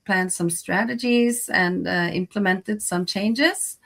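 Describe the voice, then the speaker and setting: A Norwegian woman with a Norwegian accent answers interview questions over a video call. She speaks slowly and hesitantly, filling pauses with "uh," at a middle pitch. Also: creaky, fairly bright tone. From Norway. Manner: slow, hesitant, many "uh"s, laughs easily. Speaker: guest, freelance translator.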